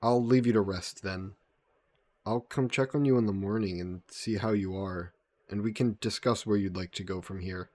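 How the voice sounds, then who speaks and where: A man playing a gentle, guilt-burdened vampire caretaker in an intimate audio roleplay, speaking politely and formally with some hesitation. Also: lowish voice, fairly even intonation, normal speed, no echo, natural voice. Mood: gentle, reassuring. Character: gentle, guilt-burdened male vampire employer caring for a guest.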